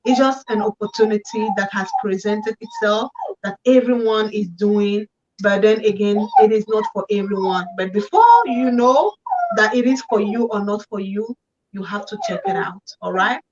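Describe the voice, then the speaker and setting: A woman's voice: medium pitch, normal speed, distant mic, muffled recording, background noise. A woman with a French accent, a business coach speaking earnestly in an interview, often repeating words. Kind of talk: interview over video call.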